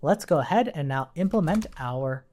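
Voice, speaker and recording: A woman's voice: low voice, animated, moderate pace, warm tone. A woman giving an informal live coding tutorial, explaining step by step as an instructor.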